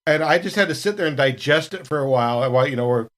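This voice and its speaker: A man's voice: a little high, lively intonation, racing, a bit bright, a little hiss or hum. A man chatting casually as a podcast cast member, telling stories in a rambling way. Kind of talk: casual retrospective chat.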